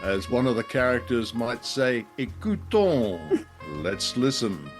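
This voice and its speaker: A man's voice: mid-range voice, very animated, slow, music in the background. A man hosting a podcast, reading a scripted show introduction. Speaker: podcast host.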